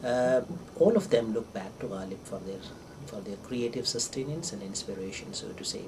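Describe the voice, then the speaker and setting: A man's voice: lowish voice, animated. Indian-accented older male professor, distant and noisy. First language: Urdu. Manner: deliberate, hesitant phrasing with repetitions. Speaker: English professor, visiting scholar. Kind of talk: informal literary lecture for an interviewer. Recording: distant microphone with clear background noise.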